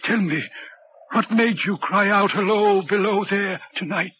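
An actor in a 1940s radio drama playing a lonely, brooding railway signalman who speaks in a grave, deliberate, halting and courteous way. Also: high-pitched. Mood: uneasy, probing. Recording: dull, noisy 1940s radio recording.

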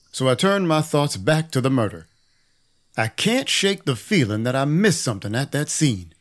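A hardboiled vampire detective, acted by a man, tells his own story in an audio drama. He has a middling male pitch and a wry, cynical, threatening manner, with music underneath. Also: lightly acted. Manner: wry, menacing, profane. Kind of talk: first-person noir audio drama narration. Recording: music behind the voice.

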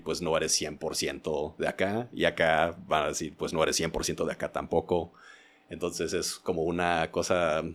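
A man's voice: a bass voice, a bit bright. A man from the United States, speaking casually as an interview guest, often correcting and qualifying himself. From United States, Indiana. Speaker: podcast guest. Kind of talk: casual interview, personal reflection.

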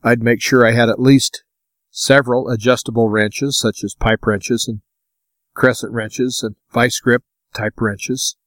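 A man hosting a talk podcast solo, giving practical, plainspoken advice in informal, deliberate phrases with short pauses. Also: medium pitch, fairly even intonation, fairly bright tone, no room sound. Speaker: podcast host.

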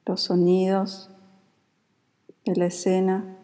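A woman with a Rioplatense Spanish accent guides a meditation in a calm, soothing, unhurried manner. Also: mid-range voice. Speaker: yoga nidra meditation guide. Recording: long reverberant hall-like echo.

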